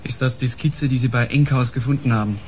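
A man's voice: medium pitch, steady tone, brisk, darkish tone, a little hiss or hum, lightly acted. An actor playing a cautious, loyal junior male detective with a mild, deferential manner in a German radio crime drama.

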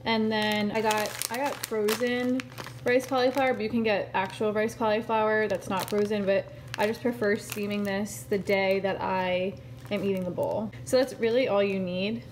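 A woman's voice: medium pitch, fairly even intonation, normal speed, a little hiss or hum, low-quality recording. A young woman in her twenties chatting casually to a phone camera held at arm's length in a kitchen, with lots of "like" and fillers. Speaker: vlogger. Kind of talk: casual vlog chat. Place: kitchen of a family home.

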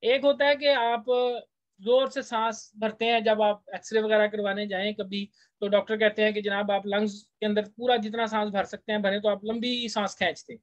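A man's voice: high voice, little pitch movement, moderate pace, no echo. Pakistani guy teaching, explains stuff conversationally like a lecturer, dry kinda muffled lo-fi audio. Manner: conversational, switches between languages to translate. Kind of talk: explanatory lecture, reading out English text and explaining it. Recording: dull, lo-fi voice-over recording.

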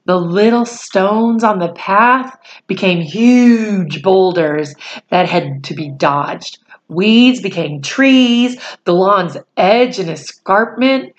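A woman in her forties reading a storybook aloud to children, deliberate and pausing phrase by phrase, with explanatory asides. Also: mid-range voice, animated, lo-fi sound. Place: small room at home, indoors.

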